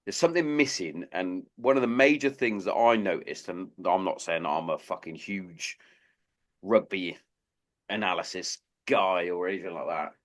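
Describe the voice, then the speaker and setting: A man's voice: deep voice, normal speed, a bit bright. A man hosting a podcast in a casual, blunt and sweary chat. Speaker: podcast host.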